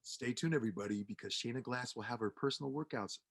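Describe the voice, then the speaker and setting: A man hosting a sports interview, asking long, rambling questions with animated energy. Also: medium pitch, fast talker, gravelly, slightly rough. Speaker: interview host.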